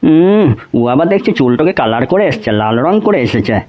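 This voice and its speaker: A man's voice: a little high, big pitch swings, a little fast, lo-fi sound. A man voicing a stern, threatening, irritable schoolteacher in a comedy cartoon, scolding. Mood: gloating, menacing.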